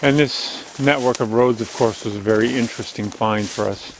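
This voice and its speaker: Loud-voiced man chatting on a woodland walk. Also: medium pitch, moderate pace, slight background noise, low-quality recording. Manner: strong, loud voice, chatty and rambling. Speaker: channel host. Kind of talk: casual walking vlog narration. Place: outdoors on an overgrown woodland logging road. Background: faint outdoor nature ambience.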